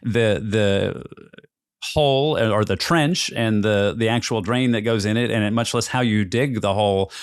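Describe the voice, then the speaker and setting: A man's voice: on the low side, measured pace, smooth, no echo, clean studio sound. A man hosting a conversational podcast interview, explaining through extended analogies and checking in with rhetorical questions. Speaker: podcast host interviewing a guest.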